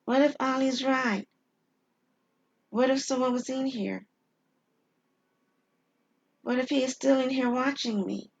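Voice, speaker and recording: Casting: scared young wife , played by a woman, higher-pitched, anxious, emphatic, audio drama. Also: steady tone, slow pace, creaky, long pauses, lo-fi sound, slight background noise, heavily acted. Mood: fearful, wary. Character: Shelby, frightened young wife alone at home.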